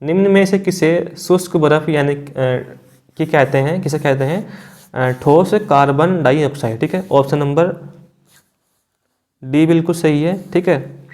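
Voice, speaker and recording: A man's voice: a little high, a little slow, darkish tone. A man teaching exam prep, reading out quiz questions and confirming answers in an instructional way with frequent check-in tags.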